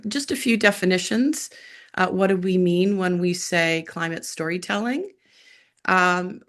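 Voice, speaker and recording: A middle-aged woman with a hoarse voice, moderating an online roundtable on a video call in a brisk, upbeat way with frequent filler uhs. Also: on the low side, fairly bright tone.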